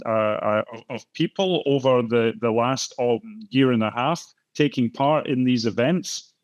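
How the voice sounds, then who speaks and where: An older Scottish man hosting an online talk event on a video call, speaking in a halting way with many fillers and restarts. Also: mid-range voice, no echo. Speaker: host and moderator. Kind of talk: conversational welcome and introduction.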